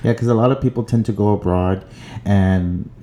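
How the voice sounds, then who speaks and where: A man's voice: on the low side, moderate pace, warm tone, slight background noise. A man hosting a conversational interview, putting questions and comments to a guest. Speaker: interviewer, podcast host.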